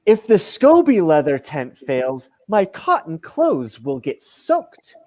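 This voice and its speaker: A man's voice: fairly high pitch, wildly swooping pitch, slow, a little mellow, exaggerated voice. A middle-aged man playing a whiny, self-centred, earnest adventurer in a comedic radio play over a video call. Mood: whiny, self-pitying. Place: room at home, on a video call.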